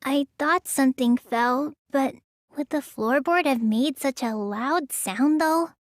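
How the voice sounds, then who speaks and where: A woman's voice: high voice, takes it slowly, no echo, clean studio sound. A woman voicing a petite, stubborn teenage girl magician in an English anime game, with a languid, childlike, slightly pouty delivery. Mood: puzzled, thoughtful.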